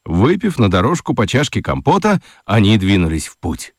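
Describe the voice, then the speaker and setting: Мужчина-рассказчик детского радиоспектакля читает выразительно, с драматическими паузами. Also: male voice, on the low side, huge pitch swings, slightly rough, no echo, studio quality. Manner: deliberate, dramatic pauses. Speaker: narrator. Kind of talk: expressive scripted storytelling for children's radio play.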